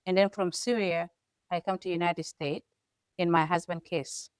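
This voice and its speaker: A woman's voice: lowish voice, steady tone, moderate pace, clean tone, no echo, studio quality. A middle-aged woman from South Sudan with a South Sudanese accent, co-hosting and telling her life story in an earnest, emphatic way. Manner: earnest, emphatic, rising to forceful stresses. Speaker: co-host. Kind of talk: personal storytelling.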